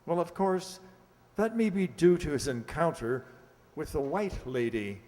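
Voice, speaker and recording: A man's voice: fairly high pitch, animated, measured pace, darkish tone. Man playing a fussy, flustered lord in an echoey hall. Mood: anxious, confiding. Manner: hesitant, fussy, stammering. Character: flustered aristocratic father, an English lord. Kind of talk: radio play acting. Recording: echoey hall, long reverb.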